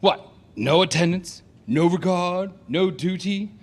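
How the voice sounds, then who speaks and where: A man's voice: high-pitched, measured pace. A man playing a domineering, hot-tempered master of the house in a Shakespearean audio play, declamatory and imperious. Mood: angry, imperious.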